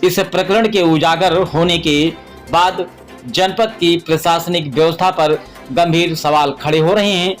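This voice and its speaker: Male news presenter, formal scripted read, fairly high pitch. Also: fairly even intonation, unhurried, faint music behind.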